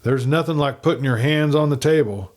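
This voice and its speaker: A man voicing a nervous, sly frontier agent in a western story, with an edgy, excitable manner. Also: fairly high pitch, a little fast, pitched above the performer's own voice, close to an everyday voice. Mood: sarcastic, evasive.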